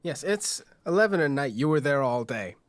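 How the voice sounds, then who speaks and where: A man's voice: fairly high pitch, expressive, moderate pace, lightly acted. A man playing a blunt, matter-of-fact apartment owner wary of uninvited guests in a tabletop game. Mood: firm.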